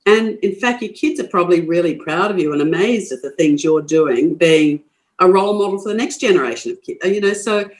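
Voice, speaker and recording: A woman's voice: fairly low pitch, lively intonation, fairly quick, darkish tone, poor audio. Frank older Australian woman. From Queensland, Australia. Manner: frank, forthright, laughs easily, many restarts. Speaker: guest. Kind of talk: podcast interview.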